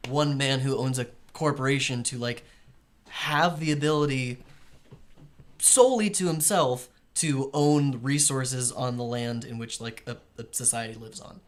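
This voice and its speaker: A man's voice: medium pitch, a little slow. A man co-hosting a political talk show, earnest and using lots of fillers. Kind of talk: casual political chat.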